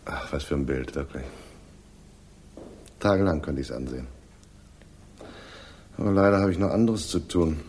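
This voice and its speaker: An actor playing a self-assured, pious police inspector in a radio crime drama, measured and condescending in his authority. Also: rumbling low, a little mellow, a little hiss or hum. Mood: musing. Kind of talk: 1960s German radio crime drama acting.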